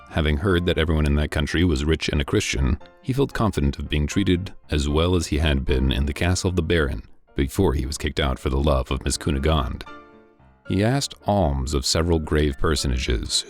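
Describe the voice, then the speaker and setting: A man with an extremely low, deep voice narrates a classic novel in a measured, dry manner. Faint music plays softly behind him. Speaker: audiobook reader. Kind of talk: scripted narration.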